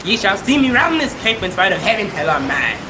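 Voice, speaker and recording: Woman acting a wildly animated, tyrannical sea captain. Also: mid-range voice, fairly quick, lightly acted. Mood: raging, defiant. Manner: commanding, archaic seafaring declamation. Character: defiant, tyrannical male ship's captain, mad with pride. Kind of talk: old-time radio drama acting. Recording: loud storm noise behind.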